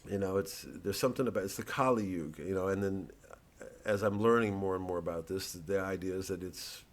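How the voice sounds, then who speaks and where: A man, a satirical comedian, rambling casually and thoughtfully in a halting, digressive monologue with some swearing. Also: sits low. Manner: halting, ruminative, digressive, profane.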